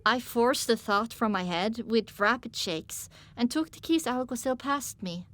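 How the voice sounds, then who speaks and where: Casting: female audiobook narrator, lightly raised pitch, telling a story dramatically in first person. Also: animated, measured pace, a bit bright.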